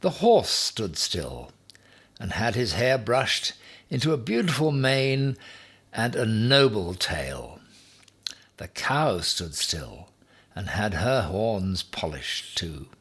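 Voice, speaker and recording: A man reading a children's story aloud in a deliberate, expressive way with dramatic emphasis. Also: on the low side, breathy edge. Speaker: story reader.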